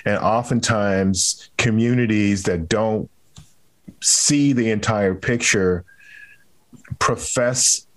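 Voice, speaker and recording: A man hosting an interview podcast, speaking in a measured, deliberate way. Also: fairly low pitch, low-quality recording. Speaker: podcast host. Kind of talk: interview and commentary on race.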